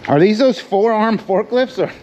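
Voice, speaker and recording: A man's voice: high-pitched, lively intonation, moderate pace, lo-fi sound. A middle-aged man giving casual, joking running commentary to camera while digging through a storage container. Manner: chatty, joking, teasing. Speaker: storage unit reseller and vlogger. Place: outdoors at a shipping container in a storage lot. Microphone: action camera worn on the body. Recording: noisy outdoor camera audio.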